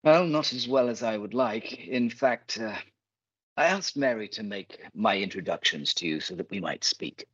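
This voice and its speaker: A man playing a polite, uneasy and protective gentleman in a radio play, formal and slightly hesitant, on a dull recording. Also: fairly low pitch, expressive, normal speed, slightly rough, no echo. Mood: guarded.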